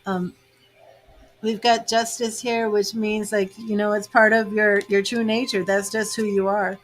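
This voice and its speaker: An American woman in her fifties hosting a live tarot reading, chatty and casual, laughing often and filling pauses with "um". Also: mid-range voice, level tone, a little hiss or hum. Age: 50s. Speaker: tarot reader hosting a livestream. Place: home with grandchildren and birds in earshot.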